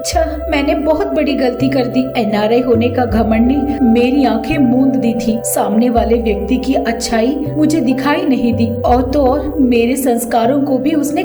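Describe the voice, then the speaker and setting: Casting: woman playing a deferential, eager-to-please young daughter-in-law for a family tale; high voice, roomy sound with music. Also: natural voice. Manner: earnest, polite, deferential. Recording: echoey voice over background music.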